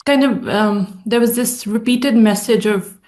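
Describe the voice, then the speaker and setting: A woman psychologist speaking as a panel guest, thoughtful and measured, often pausing to search for the right words. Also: on the high side, darkish tone. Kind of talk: reflective panel conversation.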